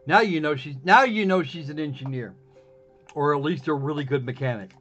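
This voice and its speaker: A man's voice: fairly high pitch, fairly quick, fairly bright tone. A man streaming a visual novel, chatting casually and sarcastically, often restarting his sentences. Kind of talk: casual livestream commentary. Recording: dull lo-fi stream mic with game music behind. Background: faint game music.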